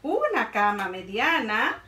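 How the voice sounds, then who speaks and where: A woman in her thirties reading a picture book aloud to children, expressive and sing-song. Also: fairly high pitch, slow pace. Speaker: children's librarian storyteller. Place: indoor room by a felt board. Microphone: camera a few feet away. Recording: roomy sound from a distance.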